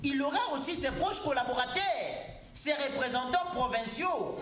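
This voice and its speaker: A man's voice: very high voice, normal speed, a bit bright, reverberant, a little hiss or hum. A man arguing insistently in a heated political debate, repeating his points and cutting in. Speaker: debate guest.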